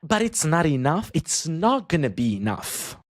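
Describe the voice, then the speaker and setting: A man guesting on a podcast as an online language teacher, chatting casually and talking in quick bursts with frequent restarts. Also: a little high, very animated, dry recording, clean studio sound.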